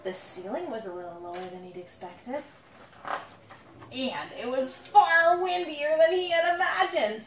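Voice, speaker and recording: A woman reading her own picture book aloud to a young child, playfully dramatic, drawing out words and pausing to ask questions. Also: sits high, a bit bright. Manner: dramatic build-ups with drawn-out words. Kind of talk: animated storytelling read aloud to a young child. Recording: roomy, noisy, distant handheld recording.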